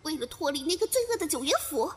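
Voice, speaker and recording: A woman's voice: sits high, sing-song, fast talker. A woman playing a melodramatic, overworked servant girl in a comedy audio drama, whining and exclaiming with cheeky desperation. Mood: pleading. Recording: loud music behind the voice.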